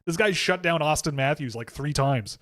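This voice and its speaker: A man's voice: a little high, normal speed, fairly bright tone, dry recording. A man hosting a livestream, talking casually and rambling through chat questions, with lots of filler words and restarts. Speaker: host of a hockey draft livestream.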